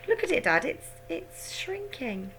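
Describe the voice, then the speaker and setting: A woman's voice: sits high, very animated, unhurried, a bit bright, a little hiss or hum, pitched above the performer's own voice, exaggerated voice. A woman doing an earnest, anxious young boy. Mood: earnest, pleading. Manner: earnest, pleading.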